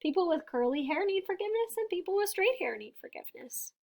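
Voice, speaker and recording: High-voiced woman reading to children. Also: animated, vocal fry, darkish tone, slight background noise. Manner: deliberate, emphatic phrasing with explanatory asides to young listeners. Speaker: storybook reader and teacher. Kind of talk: children's picture book read-aloud.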